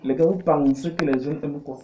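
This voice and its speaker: A man's voice: fairly high pitch, steady tone, brisk. A middle-aged man talking as a coach, explaining conversationally with examples and rhetorical questions. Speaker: business coach and consultant. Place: small indoor studio office. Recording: muffled, dark, noisy room recording.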